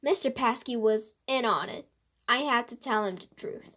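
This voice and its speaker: A woman voicing a mischievous woman mystery writer in a storybook, explaining with animated emphasis. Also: on the high side, normal speed. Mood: confiding. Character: playful, mischievous woman mystery author confessing her scheme.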